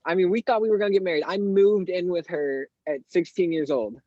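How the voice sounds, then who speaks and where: An eighteen-year-old man with a higher-pitched voice, a guest on a podcast, talking candidly and rambling with lots of fillers. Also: brisk, mellow, dry recording, dull audio. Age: teens. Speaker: guest artist. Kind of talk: casual interview chat.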